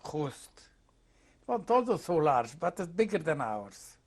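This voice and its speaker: A man in his eighties from Hungary with a Hungarian accent, slowly recounting his life in an oral history interview, halting and searching for words. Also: on the high side, very animated. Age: 80s.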